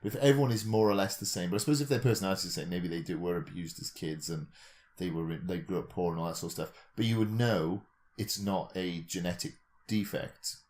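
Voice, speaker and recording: Male co-host, very low voice, casual, tentative thinker who talks ideas through. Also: fast talker, few pauses, a little mellow. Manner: tentative, reasoning aloud, self-questioning. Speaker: podcast co-host. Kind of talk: casual speculative discussion.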